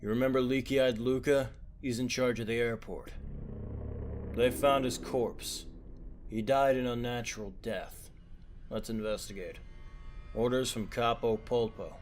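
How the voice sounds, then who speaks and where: A man voicing a composed young gangster leader in an anime fan dub, formal, measured and authoritative. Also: medium pitch, steady tone, a little hiss or hum, lightly acted. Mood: stern, composed.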